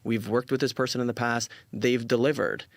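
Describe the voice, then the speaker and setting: Creaky-voiced man of forty, earnest interview guest. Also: medium pitch, steady tone, a little fast, no room sound, clean studio sound. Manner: earnest, emphatic, repeats words, acts out quoted dialogue. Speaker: interview guest, influencer marketing company founder. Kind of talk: casual conversational interview.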